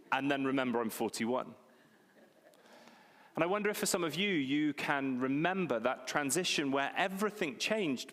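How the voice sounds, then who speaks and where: A man's voice: medium pitch, fairly bright tone. A man, preaching a sermon in a conversational, self-deprecating way and repeating key phrases for emphasis. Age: 40s. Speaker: pastor. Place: church hall. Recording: long reverb of a church hall.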